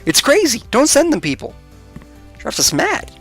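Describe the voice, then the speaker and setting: A man's voice: very high voice, wildly swooping pitch, moderate pace, a bit bright, pitched above the performer's own voice, heavily acted. A man voicing an elderly courtroom judge in a video game, fussy and emphatic, easily flustered. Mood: flustered, scolding. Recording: music under the voice.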